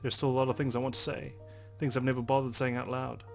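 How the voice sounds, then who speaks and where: A man's voice: mid-range voice, little pitch movement, fast talker, vocal fry, faint music behind. A man hosting a let's play, reading game text aloud dramatically and swearing as he reacts.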